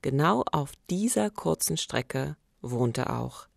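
A woman's voice: deep voice, animated, slow pace, creaky. A woman, hosting and narrating a true-crime podcast. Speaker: podcast host.

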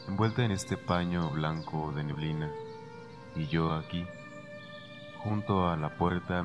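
An actor playing a hard, grieving older landowner, imperious and brooding, in a radio drama. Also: male voice, low voice. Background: music.

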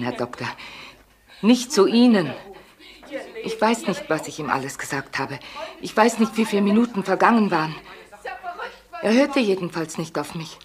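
Distraught housekeeper, radio crime drama: low, highly animated, pleading, slightly noisy old recording. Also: female voice. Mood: urgent, pleading. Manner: agitated, pleading, confiding. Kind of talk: German crime radio play acting.

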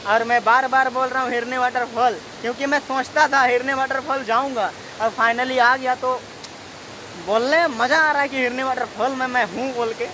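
A man's voice: very high voice, fairly even intonation, normal speed. Chatty man vlogging beside a roaring waterfall. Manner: chatty, rambling, laughs often. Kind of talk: casual travel vlog talk. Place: outdoors by a waterfall. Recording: loud hiss of falling water.

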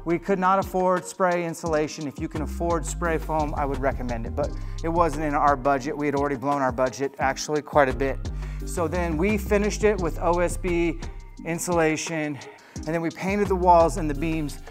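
A man's voice: a little high, normal speed, over music. A man in his thirties hosting a casual, enthusiastic tour of his home gym, recorded on a lapel mic indoors. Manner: upbeat, plain-spoken, enthusiastic. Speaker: host.

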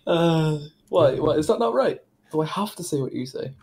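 A man's voice: fairly high pitch, lively intonation, normal speed, a little mellow, a little hiss or hum. A man in casual, playful game voice chat, roleplaying a police officer.

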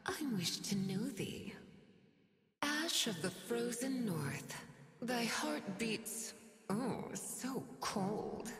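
A woman voicing a seductive, cruel female demon in a video game, teasing and breathy, often dropping into a whisper. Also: fairly high pitch, very animated, faint music behind, full character voice. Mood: archaic, imperious. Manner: sultry, slow, teasing. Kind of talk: video game character voice lines.

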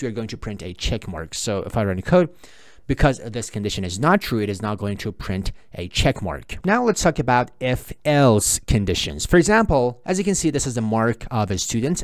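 A man's voice: mid-range voice, no room sound. Man explaining code, dry close sound. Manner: repetitive, explanatory, uses "in that case" and "I mean" often. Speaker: programming instructor. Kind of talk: screen-recorded coding tutorial.

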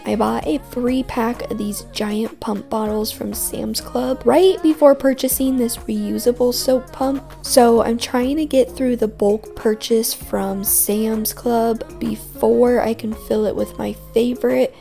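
A woman's voice: a little high, steady tone, slow pace, vocal fry, few pauses, dark tone, soft background music. A young woman narrating a home cleaning vlog in a chatty, upbeat way. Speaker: cleaning vlogger. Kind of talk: voice-over narration of a cleaning video.

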